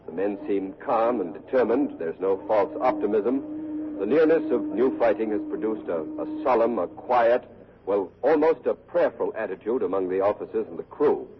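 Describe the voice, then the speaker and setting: A man playing an earnest war correspondent reporting live in an old radio drama. His voice is fairly low, and he talks in measured, solemn phrases with a courteous formality. The recording is dull and vintage. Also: lively intonation, a crowd in the background, lightly acted. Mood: grave, tense.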